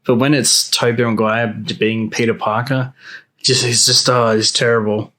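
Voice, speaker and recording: Aussie guy, medium pitch, keen fan talk, casual podcast banter with mates. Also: flat delivery, normal speed, sharp tone. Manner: enthusiastic, informal, fannish. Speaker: podcast panelist. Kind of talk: casual group chat.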